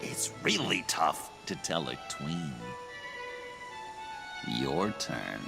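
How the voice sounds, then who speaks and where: A man's voice: a bass voice, big pitch swings, extremely slow, creaky, heavily acted. A man voicing a gleeful, unhinged clown villain in a video game, theatrical and taunting, wounded and vengeful underneath. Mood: taunting, menacing. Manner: theatrical, taunting, swinging from hushed to shrieking. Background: music.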